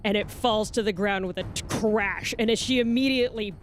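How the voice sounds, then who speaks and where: A woman with a mid-range voice describes her character's actions in a loose, hesitant way while playing a tabletop game. Music plays clearly behind her. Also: mid-range voice, fairly quick. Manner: loose, hesitant, full of "like" and "kind of". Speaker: player. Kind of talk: casual tabletop play.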